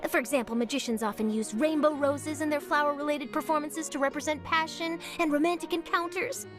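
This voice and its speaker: A woman voicing an excitable, eager young female journalist in a video game, gushing and inquisitive in her delivery. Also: high-pitched, a little fast, music in the background, close to an everyday voice. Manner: gushing, wide-eyed, inquisitive, animated. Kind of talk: English video game voice acting.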